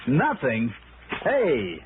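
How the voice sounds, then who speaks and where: Stubborn freelance investigator for vintage radio noir: animated, pressing, wry, medium pitch, narrow-band broadcast. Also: male voice, noisy background, full character voice. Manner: brisk, insistent, wisecracking. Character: stubborn, wry freelance insurance investigator, hard-boiled and persistent. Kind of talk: 1960s radio noir acting and first-person narration.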